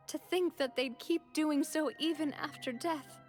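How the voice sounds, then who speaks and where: Earnest high-voiced young lady. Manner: earnest, emotional. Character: earnest, tender-hearted young lady in grief. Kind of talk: video game voice acting.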